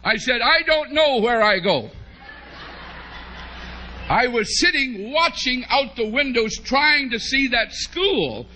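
High, forceful American preacher man. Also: a little hiss or hum, low-quality recording. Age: middle-aged. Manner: animated, humorous anecdotal telling with drawn-out emphasis. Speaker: preacher, bishop. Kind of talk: storytelling sermon to a congregation. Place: large church hall, at a pulpit before a congregation. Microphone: podium microphone on a stand.

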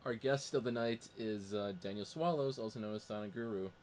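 A man's voice: lowish voice, fairly quick, a little mellow. A man hosting a podcast with casual banter, introducing and chatting with his panel. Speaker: podcast host. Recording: faint background noise.

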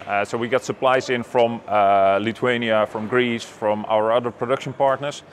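A man's voice: medium pitch, fairly even intonation, moderate pace, a little hiss or hum. A middle-aged man with a Dutch accent, a native Dutch speaker, giving a factory tour and answering questions with many fillers and restarts. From Netherlands. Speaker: company executive. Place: warehouse then glass-walled office.

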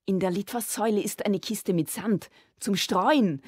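A woman's voice: moderate pace, husky, no echo, clean studio sound, close to an everyday voice. A woman voicing a sly, mocking boy who teases his sister in a storybook. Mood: sly, accusing. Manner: sneaky, cheeky, shrill when excited.

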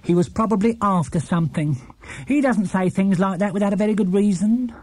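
A man's voice: sits high, brisk, darkish tone, no echo, voice pushed up. A man voicing a stern, indignant older English housekeeper woman in a children's storybook. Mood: dark suspicion. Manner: tart, indignant.

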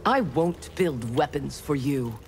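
A woman's voice: very deep voice, animated, slow pace, full character voice. Proud, cold armored warrior woman. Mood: proud defiance. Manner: curt, disdainful, threatening. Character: proud armored female ninja warrior and engineer, cold, confident. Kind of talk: video game fight intro dialogue. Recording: music behind.

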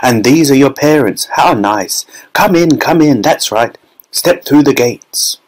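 A man voicing an excitable, effusive little factory owner in a storybook reading, with a fluty, fussy, exclamatory delivery. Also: mid-range voice, a little slow, rough recording. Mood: delighted, gushing.

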